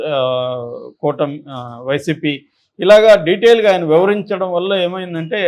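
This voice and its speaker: Higher-voiced man analysing politics. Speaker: political analyst on a news discussion channel.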